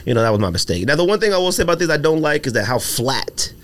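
Male podcast co-host, lively swooping delivery, slightly high voice, slangy and insistent in casual back-and-forth. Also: fast talker. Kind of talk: casual sneaker debate.

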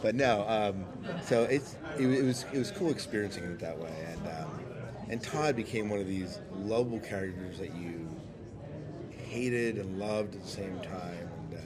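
A man's voice: on the low side, moderate pace. A man hosting a podcast interview as a fan, chatting casually in rambling, hesitant phrases. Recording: crowd chatter around the voice.

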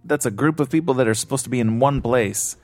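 A man's voice: medium pitch, quick pace, a little mellow, soft background music. A man co-hosting a casual movie podcast, rambling and swearing freely, with frequent restarts. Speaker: podcast co-host. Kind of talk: casual movie review chat.

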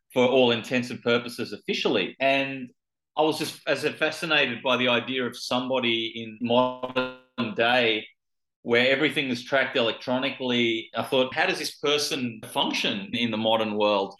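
A man's voice: mid-range voice, a bit bright, roomy sound, low-quality recording. A man with an Australian accent, an author answering interview questions thoughtfully, often restarting his phrases. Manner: thoughtful, with frequent restarts and repeated words. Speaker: author guest.

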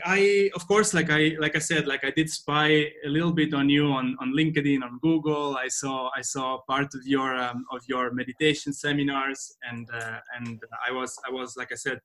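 A man hosting a podcast in a conversational interview style, speaking haltingly and often repeating phrases as he goes. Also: mid-range voice, fairly even intonation, fairly bright tone. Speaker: podcast host.

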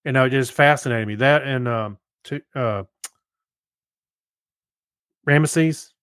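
A man co-hosting a podcast, chatting casually and haltingly as he thinks aloud. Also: mid-range voice, a bit bright, clean tone, no room sound, clean studio sound. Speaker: podcast co-host. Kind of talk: casual chat.

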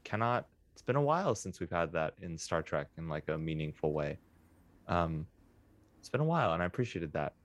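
A man co-hosting a casual podcast chat, talking in a measured, deliberate way. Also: sits low, sing-song, no room sound. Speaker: podcast co-host.

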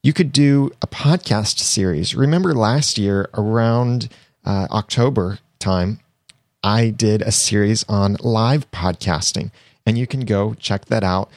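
A man's voice: on the low side, measured pace, no echo, studio quality. A young man hosting his own podcast, chatty and upbeat, talking through tips while getting over a cold. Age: young adult. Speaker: podcast host. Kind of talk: solo podcast tips monologue.

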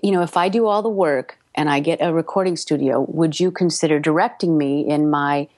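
A woman's voice: low voice, brisk, a little mellow, dry recording. A woman telling a story during an interview, in a chatty, rambling way with many fillers and restarts. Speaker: audiobook narrator and guest.

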